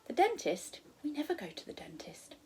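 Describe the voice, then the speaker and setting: Storybook young girl, played by a woman reader: earnest, anxious, hesitant, pitched high. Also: huge pitch swings, normal speed, dark tone. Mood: anxious, unsure. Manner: hesitant, questioning. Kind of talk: children's storybook read aloud.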